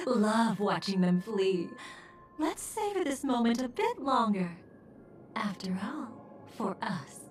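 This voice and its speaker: Slow, echoey, taunting villainess. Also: female voice, medium pitch, slight background noise. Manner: teasing, savoring, softly menacing, drawn-out delivery. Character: taunting, obsessive, sly villainess, a memory manipulator. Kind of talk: theatrical video game voice acting. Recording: echoey, music underneath. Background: game music.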